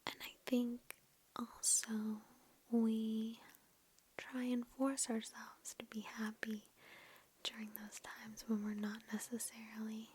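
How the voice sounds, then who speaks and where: Slow, breathy whispering woman. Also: fairly high pitch, flat delivery. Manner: gentle, reassuring, unhurried. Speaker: ASMR host. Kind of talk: whispered ASMR guided meditation. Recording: lo-fi with background noise and clicks.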